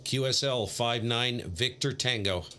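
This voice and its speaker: A man's voice: mid-range voice, measured pace. A man live-streaming amateur radio, chatting casually and muttering to himself between call-outs. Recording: clear background radio noise.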